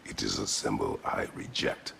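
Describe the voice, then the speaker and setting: An actor playing a stern, brooding, battle-worn Spartan warrior god in a video game, speaking tersely and solemnly. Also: male voice, very deep voice, steady tone, moderate pace, vocal fry, slight background noise, full character voice. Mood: stern, guarded. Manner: terse, solemn, formal phrasing. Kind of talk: dramatic video game acting. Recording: cavernous echo, loud music underneath.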